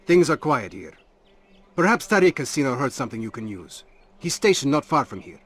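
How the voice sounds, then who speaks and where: A man playing a brotherly medieval assassin scout in a video game, with a husky voice. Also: medium pitch, big pitch swings, fairly quick, fairly bright tone. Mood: helpful, conspiratorial.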